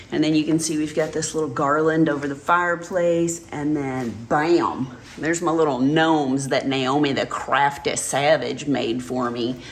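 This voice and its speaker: A woman's voice: low-pitched, a little hiss or hum. A woman from Dallas with a strong Texan accent, hosting her channel and chatting casually with viewers, laughing often. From Dallas, Texas. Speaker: channel host.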